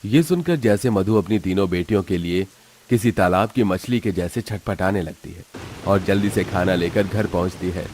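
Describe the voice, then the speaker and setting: Deep-voiced male story narrator, faint background noise. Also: moderate pace. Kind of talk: scripted dramatic story narration.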